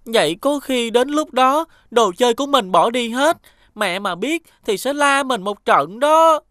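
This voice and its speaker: A woman's voice: fairly high pitch, a little slow, no room sound, clean studio sound. A woman voicing a playful, careless young boy who chatters eagerly in a dubbed cartoon. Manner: eager, excitable child's chatter. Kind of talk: cartoon dubbing.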